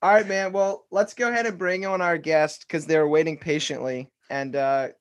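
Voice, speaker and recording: A man's voice: on the high side, steady tone, moderate pace. A man co-hosting a podcast, upbeat and joking, swearing casually as he introduces the guest. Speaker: podcast co-host. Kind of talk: casual chat.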